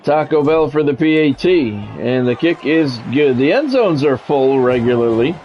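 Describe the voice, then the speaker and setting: A man's voice: mid-range voice, animated, moderate pace, muffled recording, a little hiss or hum. A man streaming a sports game, mixing radio-style play-by-play with casual chat.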